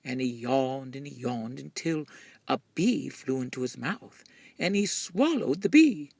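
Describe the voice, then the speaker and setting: A man reading a picture book expressively to children, breathy and often near a whisper, with drawn-out, dramatic delivery. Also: medium pitch, animated, a little mellow, dry recording. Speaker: children's story reader.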